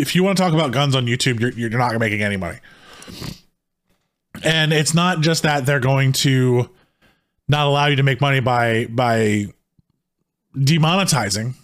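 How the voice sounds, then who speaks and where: A man co-hosting a casual podcast, his voice sitting at an ordinary middle pitch with a slight hoarseness. He talks in a heated, rambling way, often stopping and starting his sentences over. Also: fairly bright tone. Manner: heated, rambling talk with many restarts. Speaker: podcast co-host.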